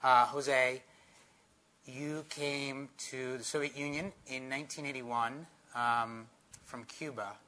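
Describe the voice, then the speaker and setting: An American man moderates a live on-stage conversation with casual questions. He talks slowly and hesitantly in a bright, mid-pitched voice, stopping and starting as he goes. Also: fairly even intonation. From born in Moscow, moved to the US at age six. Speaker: moderator, interviewer.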